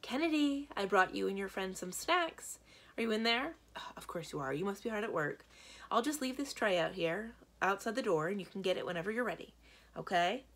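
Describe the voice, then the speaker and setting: A woman's voice: mid-range voice, expressive, brisk, fairly bright tone, pitched above the performer's own voice. A woman voicing a cheerful, doting mother in a storybook, fussing chattily over her child. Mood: cheery.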